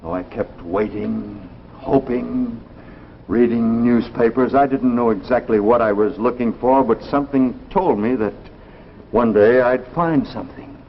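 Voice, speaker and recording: An actor playing a heartbroken, lovelorn husband in a 1950s television drama, with an earnest, wistful delivery. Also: male voice, mid-range voice, unhurried, lightly acted. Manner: earnest, wistful, repeats phrases. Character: heartbroken, lovelorn husband recounting his lost wife. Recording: muffled 1950s broadcast with faint hiss.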